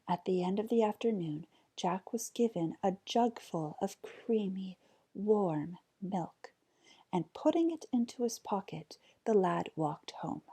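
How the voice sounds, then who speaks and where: A woman narrates a folk tale at a slow, measured pace. Her voice is soft-edged and husky, with a lively, rising and falling melody. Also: mid-range voice. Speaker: storyteller.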